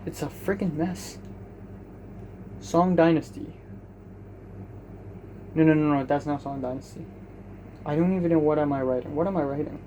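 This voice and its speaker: Young man, rambling self-mocking vlog chat, slightly high voice, faint room hiss. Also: moderate pace, long pauses, mellow. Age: young adult. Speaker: vlogger. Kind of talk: casual diary vlog.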